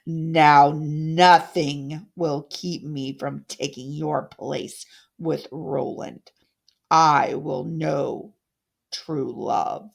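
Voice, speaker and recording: A woman voicing a cruel, gloating witch villainess in a fairytale, speaking slowly and with menace. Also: low-pitched, fairly even intonation, gravelly, voice pushed down, full character voice. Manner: slow, menacing, cackling. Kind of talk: dramatic fairytale storytelling.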